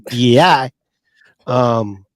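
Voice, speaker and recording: A man co-hosting a casual podcast discussion, talking in an animated way. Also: mid-range voice, takes it slowly, dry recording. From Filipino family, English first language. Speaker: podcast co-host.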